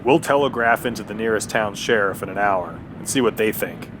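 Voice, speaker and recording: An actor playing a stern, blunt train conductor in an Old West audio drama, gruff, authoritative and folksy. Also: male voice, brisk, close to an everyday voice. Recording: clear vehicle-like background rumble.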